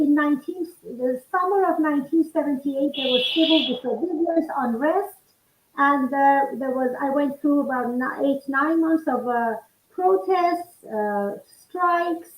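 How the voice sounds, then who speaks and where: High-voiced woman in her sixties, roomy. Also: lo-fi sound. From Iran. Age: 60s. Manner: measured, explanatory recounting. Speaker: author and guest. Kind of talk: interview answers.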